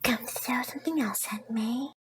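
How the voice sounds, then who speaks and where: A woman's voice: on the high side, moderate pace, slight background noise, natural voice. A woman playing the sulky, self-pitying ghost of a teenage girl in an audio drama, whiny, accusing and melodramatic. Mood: wounded, accusing.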